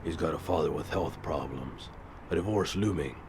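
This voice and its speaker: An actor playing a brooding, stoic vigilante hero in a comic-book audio drama, speaking in a grave, measured, deadpan way. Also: male voice, rumbling low, noisy background. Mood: terse, deadpan. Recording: music behind the voice.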